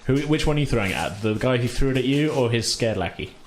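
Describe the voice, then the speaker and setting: A man's voice: medium pitch, animated, quick pace. A man casually narrating a tabletop role-playing game as game master, in an easygoing, informal way. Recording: echoey room, distant mic, background noise.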